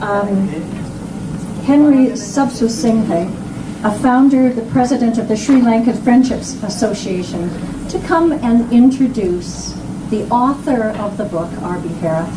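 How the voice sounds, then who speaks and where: A woman hosting a public event and introducing the next speaker, in a lively and expressive way. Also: fairly high pitch, unhurried. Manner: lively and expressive, with a light laugh. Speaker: event host. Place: event hall at a book launch. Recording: echoey room, distant mic, loud background noise.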